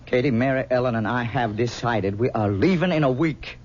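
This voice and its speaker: A man plays a young son in an old radio play. He talks earnestly, often pleading and halting. The recording is muffled, with a faint hiss. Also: medium pitch, close to an everyday voice. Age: young adult. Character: anxious young man torn by his mother. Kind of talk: 1970s radio drama acting. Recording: dull 1970s radio broadcast.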